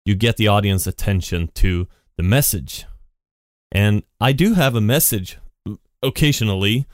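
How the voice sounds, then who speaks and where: A man's voice: lowish voice, normal speed, dry recording, clean studio sound. A man hosting his own podcast, talking off the cuff in a rambling way with lots of restarts, into a decent mic at his computer. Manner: rambling, many restarts and repeated "and". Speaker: podcast host. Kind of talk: unscripted solo podcast monologue. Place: home setup at a computer. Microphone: studio podcast mic with effects.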